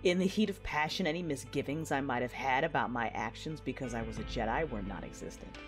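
A woman's voice: very deep voice, brisk, over music. A woman acting as an intense, passionate Jedi knight, narrating her own story in a confessional, introspective audio drama style. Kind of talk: first-person audio drama narration with dialogue.

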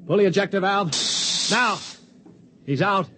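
A man's voice: high voice, unhurried. A man narrating and playing the wry, commanding lead of a 1950s science fiction radio drama. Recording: noisy 1950s radio broadcast.

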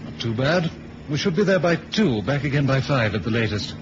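An actor playing a breezy senior intelligence chief in a 1970s radio drama, brisk, assured and patronising in an avuncular way. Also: male voice, mid-range voice, animated, natural voice. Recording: muffled, noisy old radio recording.

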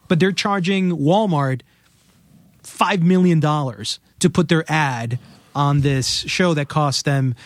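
A man, a guest film critic talking and explaining in a casual podcast chat, animated and quick to laugh. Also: a little high, dry recording. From United States.

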